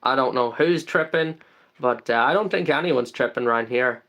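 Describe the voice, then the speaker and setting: A man's voice: medium pitch, normal speed, a bit bright. A man from the UK hosting a casual live stream, greeting viewers by name and rambling with lots of ums and uhs.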